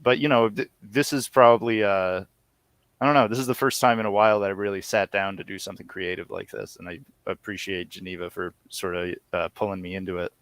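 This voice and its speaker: Guy guest, kinda low clean voice, hesitant and modest, casual chat. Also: steady tone, brisk, a bit bright, smooth, no room sound. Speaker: interview guest, audio series co-creator. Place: remote online call from home.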